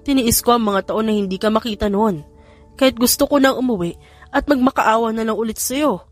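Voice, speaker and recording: A man's voice: sits high, brisk, no room sound, faint music behind, lightly acted. Woman acting out a pleading, lovesick young man.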